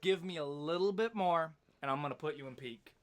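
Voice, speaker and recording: A man's voice: on the high side, fairly quick. A man giving casual, opinionated video commentary in an emphatic, slangy, conversational way.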